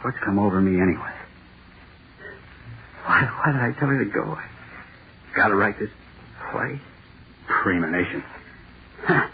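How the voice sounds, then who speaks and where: An actor playing a nervous, overworked male author in 1940s radio drama, halting and muttering to himself. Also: lowish voice. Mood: jittery, brooding. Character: jittery, overworked male horror-play author, restless and self-doubting. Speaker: radio playwright dictating a script. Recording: scratchy, noisy, tinny old radio broadcast.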